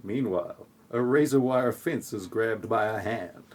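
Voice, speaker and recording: A man narrating a scripted audio play in a tongue-in-cheek, deadpan way with sarcastic asides. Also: fairly low pitch, measured pace.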